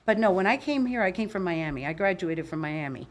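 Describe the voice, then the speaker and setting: A woman's voice: fairly low pitch, a little fast. An older woman from Cuba, a boisterous and opinionated guest telling stories in a casual podcast interview. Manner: boisterous, opinionated, animated storyteller. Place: podcast studio.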